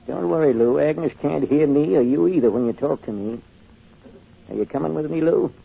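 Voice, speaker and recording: Gravelly, folksy old grandfather ghost. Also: fairly high pitch, exaggerated voice. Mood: cheerful, insistent. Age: older. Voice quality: gravelly, husky. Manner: folksy, unhurried. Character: kindly, folksy old grandfather's ghost, patient but insistent. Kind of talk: old-time radio drama acting. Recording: muffled old radio recording.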